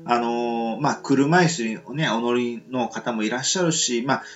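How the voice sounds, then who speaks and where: A man's voice: medium pitch, unhurried, highs cut off, faint music behind. A man hosting a casual talk show, speaking politely in a rambling, hedging way. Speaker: radio show host.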